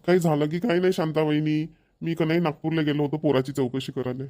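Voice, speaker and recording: A man's voice: fairly high pitch, little pitch movement, quick pace, warm tone, close to an everyday voice. A man voicing an earnest village father in a homemade cartoon, speaking colloquial, emphatic, repetitive Marathi with a Vidarbha accent. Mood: earnest, reassuring. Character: earnest village father arranging his daughter's marriage.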